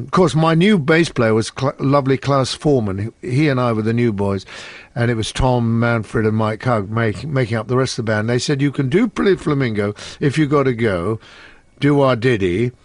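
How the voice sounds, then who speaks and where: A man's voice: mid-range voice, normal speed, dry recording. An older man, a musician guest, telling wry anecdotes in easygoing conversation. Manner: chatty, wry storyteller.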